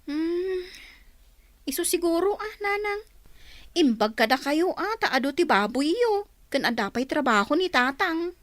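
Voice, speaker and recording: A woman's voice: extremely high pitch, big pitch swings, a little slow, dry recording, exaggerated voice. A Filipino actress playing an eager, dutiful young daughter in a radio drama, girlish and respectful.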